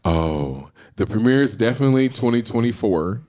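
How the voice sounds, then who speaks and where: A middle-aged man with a low voice, a podcast guest who tells his stories animatedly and acts out the dialogue. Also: lively intonation, moderate pace, warm tone. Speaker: guest, screenwriter and actor. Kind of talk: casual storytelling in a podcast interview.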